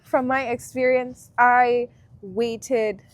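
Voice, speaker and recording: A woman in her twenties from Guyana, a university alumna, talking through her experiences in a relaxed, reflective way as an interview guest. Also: on the high side, very slow, dry recording. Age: 20s. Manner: reflective, friendly, thinks aloud with fillers. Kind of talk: casual interview chat.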